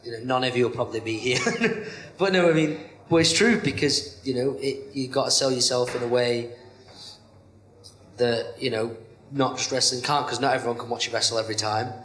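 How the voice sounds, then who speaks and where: A man's voice: mid-range voice, brisk, reverberant, rough recording. A young man, a pro wrestler, chatting casually in an interview, rambling with lots of fillers. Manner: rambling, many "you know" fillers, self-described monotone. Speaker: professional wrestler, interview guest.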